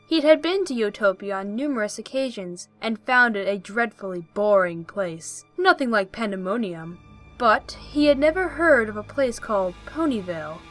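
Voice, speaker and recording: A woman's voice: fairly high pitch, fairly bright tone. A woman reading scripted story narration as the narrator of an audio play.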